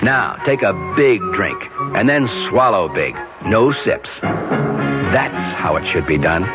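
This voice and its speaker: A man's voice: deep voice, animated, takes it slowly. A man reading a conversational 1970s radio commercial in a folksy, instructive way. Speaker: commercial announcer. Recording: dull 1970s radio spot, loud music.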